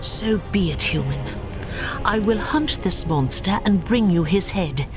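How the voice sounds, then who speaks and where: An actress playing a proud elf warrior in a video game, speaking with measured, lofty earnestness. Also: female voice, medium pitch, expressive, lightly acted. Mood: solemn resolve. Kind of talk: video game cutscene acting. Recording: music behind.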